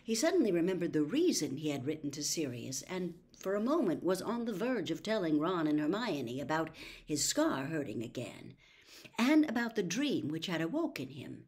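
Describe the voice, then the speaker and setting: A woman reading a story aloud in an expressive narrating style. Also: low-pitched, normal speed. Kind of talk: expressive audiobook-style narration with character voices.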